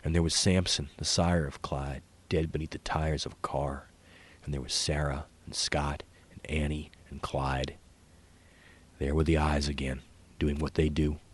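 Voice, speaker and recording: A man narrating a literary short story in a measured, hushed manner that drops toward whispers. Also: a bass voice, level tone, husky. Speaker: author reading own short story.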